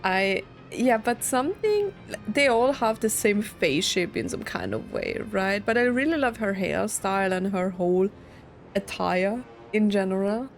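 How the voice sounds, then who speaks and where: A young woman streamer chats casually through a game, thinking out loud. Her voice sits at a middle pitch, with faint music playing underneath. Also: animated, a little slow. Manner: chatty, thinking aloud, reads dialogue out. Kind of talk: casual let's play commentary.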